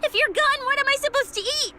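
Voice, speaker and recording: A woman's voice: very high-pitched, quick pace, bright, forceful tone, a little hiss or hum, heavily acted. A woman voice acting a lazy, food-loving young policewoman in a video game, playful and hammy, with hesitant little hums and asides. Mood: pleading, earnest. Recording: music behind the voice.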